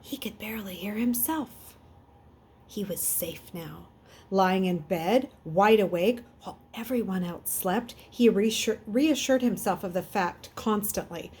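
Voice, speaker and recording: Female storybook reader, mid-pitched and slightly rough, expressive, unpolished delivery with the odd stumble. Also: measured pace. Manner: expressive, with stumbles and self-corrections. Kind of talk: read-aloud of a children's novel.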